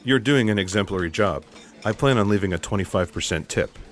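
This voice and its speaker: An actor as an earnest male special agent in a comic sci-fi audio drama. His voice is low. He speaks with courteous, deadpan calm and awkward frankness. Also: brisk, close to an everyday voice. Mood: reassuring. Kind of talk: comedic sci-fi audio theatre acting.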